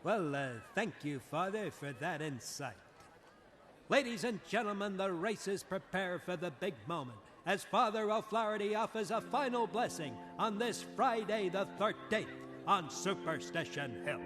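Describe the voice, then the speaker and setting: An actor playing a showy race-day announcer in a cartoon, formal and ceremonious. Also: male voice, sits high, animated, measured pace, fairly bright tone. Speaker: event announcer. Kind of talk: cartoon public-address announcing. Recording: echoing hall sound with music and crowd.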